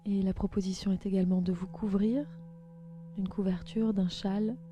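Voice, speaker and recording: A woman host leads a guided relaxation meditation, speaking calmly and gently in slow, spaced instructions. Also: mid-range voice, slight background noise. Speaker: podcast host and yoga nidra guide.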